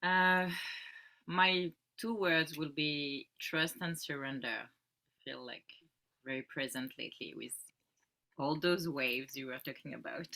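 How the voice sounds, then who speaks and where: Bright-voiced woman from France. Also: deep voice, a little slow, vocal fry, rough recording. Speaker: participant.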